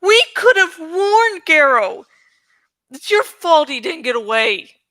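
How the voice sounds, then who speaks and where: A woman voicing a distraught, angry teenage farm boy in a fantasy story, with shouting, anguished outbursts. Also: extremely high pitch, very animated, slow pace, no echo, heavily acted. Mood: angry grief.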